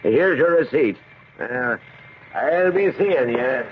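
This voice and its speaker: A man's voice: medium pitch, expressive, takes it slowly. A man playing an amiable, dutiful American police sergeant in a 1970s radio drama, plain-spoken and folksy. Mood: concerned, genial. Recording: muffled, hissy 1970s radio recording.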